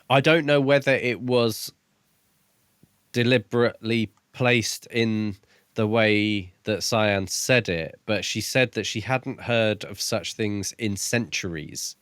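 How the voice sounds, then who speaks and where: A man's voice: fairly low pitch, fairly bright tone, smooth, no echo, studio quality. A man chatting casually as a podcast co-host, tentatively thinking aloud about a book. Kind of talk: casual book discussion chat.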